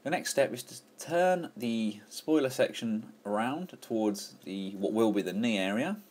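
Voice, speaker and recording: A man's voice: on the low side, lively intonation, measured pace, a little mellow. Enthusiastic man reviewing a toy. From England. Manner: enthusiastic, chatty, with frequent fillers and restarts. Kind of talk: casual toy review walkthrough.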